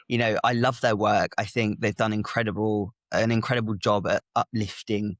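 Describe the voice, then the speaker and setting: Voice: mid-range voice, steady tone, moderate pace, no echo, studio quality. Reflective non-binary speaker. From Italy. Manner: reflective and open, many soft hesitations. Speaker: guest, activist and public speaker. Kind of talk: candid interview talk.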